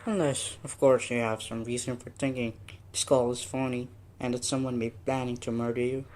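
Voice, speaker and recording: A man's voice: medium pitch, little pitch movement, brisk, darkish tone, slight background noise, natural voice. A man playing a weary, patronizing police desk officer brushing off a caller in a radio suspense play. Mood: matter-of-fact. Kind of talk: old-time radio suspense play acting.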